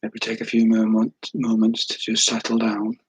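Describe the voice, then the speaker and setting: A man leading a guided meditation in a calm, soothing, unhurried way, with a soft, breathy voice and long pauses. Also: fairly low pitch, no room sound, rough recording. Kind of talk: guided meditation, slow spoken instruction.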